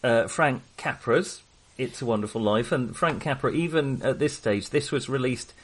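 Male film-show co-host, mid-range voice, conversational and unscripted, hesitant, halting delivery. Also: medium pitch, slightly rough. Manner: hesitant, halting, trailing into half-finished thoughts. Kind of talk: casual film discussion.

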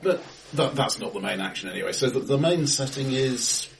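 A middle-aged or older man with an English accent, co-hosting a casual film discussion in a halting, wry way in a live-sounding room. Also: medium pitch, expressive, gravelly, far from the mic, a little hiss or hum. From England. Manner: halting, wry, repeats word starts. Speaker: podcast co-host. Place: indoor room, recorded face to face.

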